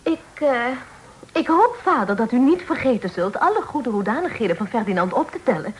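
An actress playing a playful, teasing young daughter in a period radio drama, with a mock-earnest manner. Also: female voice, a little high, very animated, brisk. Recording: noisy old radio play recording.

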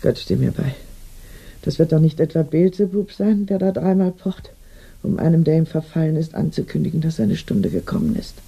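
A performer in a German radio play voicing a fretful, superstitious servant woman who mutters and complains in a dark, creaky voice. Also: medium pitch, lively intonation, moderate pace, slight background noise. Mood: fearful.

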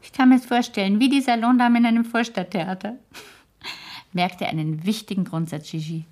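Actress playing older society great-aunt, imperious, elegant, exacting, instructive, 1970s radio play. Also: female voice, medium pitch, steady tone, normal speed, darkish tone, close to an everyday voice. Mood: haughty, worldly.

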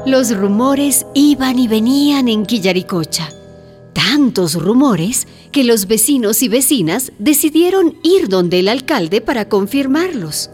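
A woman's voice: on the high side, expressive, a little slow, darkish tone, faint music behind. A woman narrating a radio drama as a storyteller, reading a scripted village tale. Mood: storytelling.

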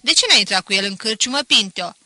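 A woman voicing a rowdy, teasing village tavern regular in an audiobook, calling out loudly and familiarly to an old friend. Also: mid-range voice, expressive, dry recording. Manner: loud, exclamatory, familiar. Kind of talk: audiobook character acting.

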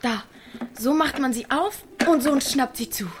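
A woman's voice: sits high, normal speed, a little hiss or hum, natural voice. A woman playing a teasing, thrill-hungry teenage village girl in a naturalistic German radio drama. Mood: scheming.

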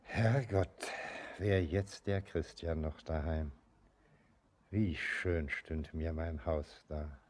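A man's voice: a bass voice, slow, a little mellow, a little hiss or hum. An actor playing a brooding, sentimental ageing father and mine master in a German radio play, declamatory and lyrical. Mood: moved, proud.

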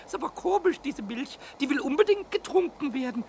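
Cheeky childlike kobold for a radio play, very shrill, creaky, repetitive and excitable, faint noise behind. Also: male voice, fairly quick, heavily acted. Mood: curious. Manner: stammering, repeating words, exclaiming.

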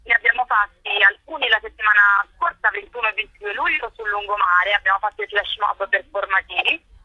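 A woman's voice: medium pitch, fairly quick, breathy edge, no echo, lo-fi sound. A woman giving an interview as a guest, explaining an arts programme in an articulate way. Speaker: interview guest, festival curator and performer.

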